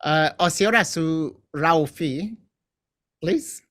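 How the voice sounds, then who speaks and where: Man chairing an online Q&A, bright edge, brief, businesslike calls on speakers. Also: fairly high pitch, takes it slowly. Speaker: moderator.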